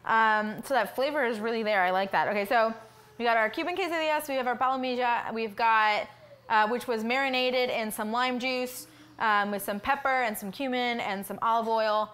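A woman's voice: fairly high pitch, moderate pace, clear, resonant tone. Chatty woman cooking in a home kitchen. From South Florida. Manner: relaxed, thinking aloud with many ums while cooking and tasting. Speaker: cooking stream host. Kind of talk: casual cooking livestream chat.

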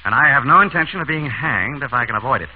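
An actor playing an urbane, wry surgeon turned amateur sleuth in an old-time radio drama. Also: male voice, mid-range voice, lively intonation, fast, close to an everyday voice. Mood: cool, pointed. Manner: urbane, wry, composed under threat. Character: suave, quick-witted male surgeon turned amateur sleuth. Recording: tinny, noisy vintage radio recording.

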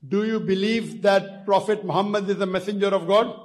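An older man lecturing in a large indoor hall into a stand mic, calmly asking questions and leading phrases for the crowd to repeat. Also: sits high, fairly quick. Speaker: lecturer leading converts in a declaration of faith. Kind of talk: public lecture Q and A.

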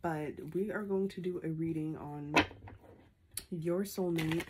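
A woman's voice: fairly low pitch, very noisy. A young woman with a stuffy, congested voice from a sinus infection, reading tarot into a phone camera in a small room, ending most points with "okay?". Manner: repeats a questioning "okay?" after nearly every point. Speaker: tarot reader. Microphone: phone camera at arm's length.

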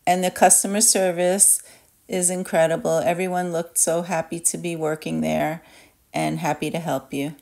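Low-pitched, creaky-voiced woman vlogger. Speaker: travel vlogger. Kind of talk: casual voice-over review of a cruise trip.

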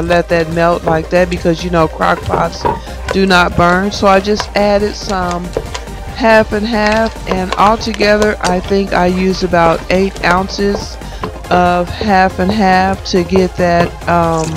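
A middle-aged woman vlogging about cooking, her voice hoarse from a cold, chatting eagerly to viewers. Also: fairly low pitch, little pitch movement, slow pace, over music. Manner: chatty, enthusiastic. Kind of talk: casual cooking vlog. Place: parked car. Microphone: phone camera held close.

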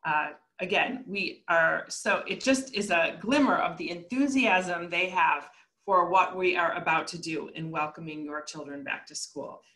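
A woman principal runs through her staff's names on a video call, friendly and a little flustered. Her voice is low for a woman yet bright and forceful. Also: a little slow. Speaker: school principal.

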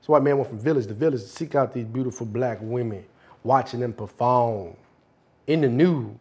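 A man playing a brash, bullying older strip club boss in an acted film monologue, streetwise and repetitive, with drawn-out emphasis. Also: medium pitch, a little mellow, slightly rough, voice pushed down. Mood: sly storytelling.

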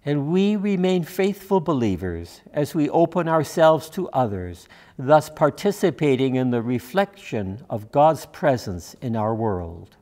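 Senior male minister, slightly high-pitched, measured and solemn delivery of prepared worship text. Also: a little mellow. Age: older. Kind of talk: sermon and pastoral prayer. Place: church sanctuary, at a lectern.

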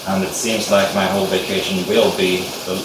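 A man's voice: low-pitched, fairly quick. A young Swedish man with a Swedish accent, talking hesitantly while working on a bonsai, thinking aloud with many fillers in a casual tutorial. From Sweden. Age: young adult. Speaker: bonsai hobbyist vlogger. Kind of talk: casual hands-on tutorial chat. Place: small glassed sunroom indoors with rain outside. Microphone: camera mic from a distance. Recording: echoey distant camera audio with rain hiss. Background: steady rain noise.

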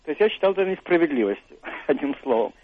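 A man's voice: on the high side, sing-song, fast. An older man, an author and university professor, answering interview questions on the radio in a thoughtful, hesitant way. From Russia. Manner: thoughtful, hesitant, many fillers and restarts. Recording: narrow phone-like radio sound, dry.

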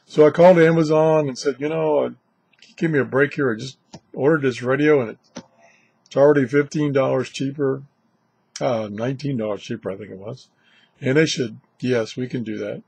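A man's voice: medium pitch, steady tone, moderate pace, gravelly, a little mellow, husky, no room sound. An older man hosting a casual, unscripted product vlog, chatting in a rambling way. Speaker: video host reviewing shortwave radios. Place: indoors, small cluttered radio room.

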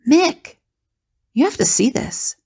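A woman's voice: high voice, sing-song, slow pace, vocal fry, a little mellow, breathy edge, exaggerated voice. A woman playing a confident, resourceful female adventurer in an audio drama. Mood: eager.

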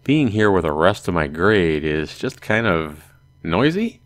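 A man's voice: low voice, sing-song, unhurried, dry recording. A man voicing a wry, exasperated teenage schoolboy, the hero of a visual novel. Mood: musing.

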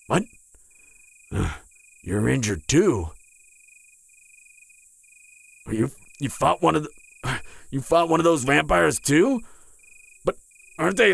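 A man performs a proud male vampire hunter in an intimate audio roleplay, hurt and stammering through the pain. His voice is raspy and creaky, recorded close and dry. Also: a little high, no echo, heavily acted. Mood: flustered, suspicious. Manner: stammering, halting, breathy sighs and groans. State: in pain, strained.

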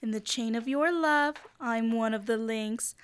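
A teenage girl playing a higher-voiced, reasonable mother. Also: unhurried, smooth, close to an everyday voice. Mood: approving. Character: gently chiding, reasonable suburban mother. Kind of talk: old-time radio comedy acting. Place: school library. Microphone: standing stage microphone.

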